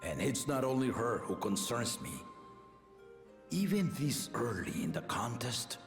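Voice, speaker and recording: An actor playing an aging, battle-loving king and father in a video game, grave and shrewd, with a measured, regal delivery. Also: medium pitch, very animated, reverberant, in a large hall, soft background music. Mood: grave, commanding. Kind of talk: scripted video game voice acting.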